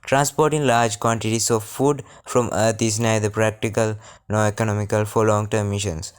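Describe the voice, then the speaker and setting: A young man from Sri Lanka reading a prepared science project presentation in a formal, measured way. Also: lowish voice, level tone, smooth. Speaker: student presenter.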